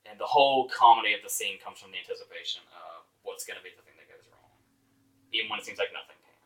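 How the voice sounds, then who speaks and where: Guy doing a sweary movie review rant, sarcastic n exasperated, sharp bright voice, mic kinda far away. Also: lowish voice, fast talker. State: voice getting hoarse. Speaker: movie reviewer, show host. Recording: distant microphone.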